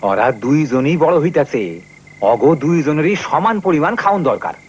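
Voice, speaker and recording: A man with a Bangladeshi accent playing a kindly, unhurried village father in a children's cartoon. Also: a little high, expressive, slightly rough. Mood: kind, amused.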